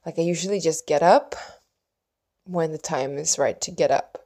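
A woman from Sweden, a native Swedish speaker, telling a personal story in a casual, reflective, meandering way with pauses and hushed asides. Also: sits low. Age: adult, mother of young children.

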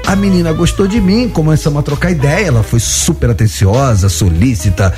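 A man's voice: on the high side, brisk. A man with a Rio de Janeiro accent hosting a talk show, telling anecdotes in casual, slangy banter. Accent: Rio de Janeiro Brazilian Portuguese. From Rio de Janeiro, Brazil. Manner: animated, slangy storytelling. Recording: music bed behind talk.

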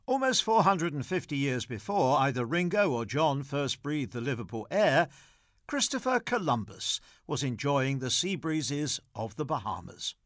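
A man's voice: mid-range voice, sing-song, moderate pace, a bit bright, no echo, clean studio sound. A man presenting a scripted audio magazine, reading trivia and introductions. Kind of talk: scripted quiz and narration.

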